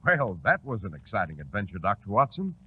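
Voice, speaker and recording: A man's voice: on the low side, big pitch swings, brisk. An American man announcing and hosting a scripted 1940s radio program. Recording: tinny 1940s radio recording. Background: organ music.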